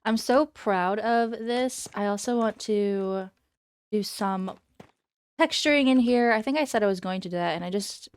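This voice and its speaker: A woman's voice: medium pitch, moderate pace, clear, resonant tone, no room sound. A young woman with a slightly congested voice, chatting casually and rambling as she shows off a build in a game. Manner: chatty, rambling, self-correcting. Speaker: Minecraft YouTuber. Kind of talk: casual gameplay commentary.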